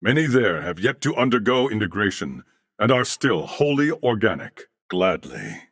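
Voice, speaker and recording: A low-voiced, courteous humanoid fused with machine intelligence for a video game. The diction is formal, measured and earnest, yet the intonation moves expressively. The sound is dry and close, giving the thoughtful character a clean studio presence. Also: male voice, big pitch swings, a bit bright, no echo, full character voice. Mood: grave, composed.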